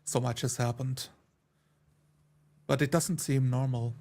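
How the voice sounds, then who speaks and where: A man's voice: medium pitch, fairly even intonation, measured pace, a little mellow. A man playing a tabletop roleplay game, speaking restrained, hesitant lines in character and narrating his actions. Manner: hesitant, restrained, broken phrases.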